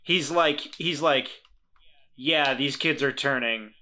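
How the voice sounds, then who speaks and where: A man's voice: medium pitch, slow, bright, forceful tone. A man playing in a tabletop game, chatting casually and repeating words as he thinks aloud. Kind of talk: casual improvised game chat.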